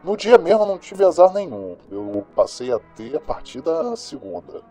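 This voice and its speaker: Guy playing cynical brazilian radio host doing crime gossip, mellow kinda rough voice, slangy, audio drama with music behind. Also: fairly high pitch, big pitch swings, normal speed. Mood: chatty, musing. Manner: colloquial, sardonic, slangy. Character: cynical, gossipy male host of a small-town crime news show.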